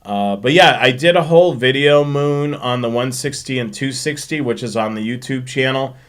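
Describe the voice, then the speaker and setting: A man with a mid-pitched voice hosts a casual live stream. He talks informally, explaining technical points and thinking out loud as he answers questions. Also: normal speed, clean tone. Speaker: host of a railfanning live show.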